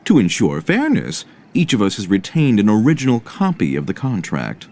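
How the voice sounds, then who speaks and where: A man voicing a polite, fussy merchant in an anime game, with formal, deliberate phrasing. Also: on the low side, wildly swooping pitch, darkish tone, a little hiss or hum. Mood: assured. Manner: formal, courteous, deliberate, theatrically inflected. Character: polite, fussy, self-assured male merchant.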